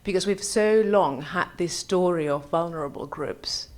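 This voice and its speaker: Lower-voiced woman, conversational and hedging. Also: normal speed, a little mellow. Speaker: government gender advisor, audience speaker. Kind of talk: informal spoken reflection at a conference.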